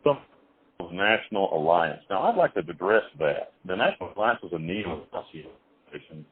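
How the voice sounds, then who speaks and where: A man being interviewed over the phone, telling his life story in a rambling, halting way with many restarts and some blunt, crude wording. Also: deep voice, big pitch swings, husky. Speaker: interview guest. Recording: tinny phone line.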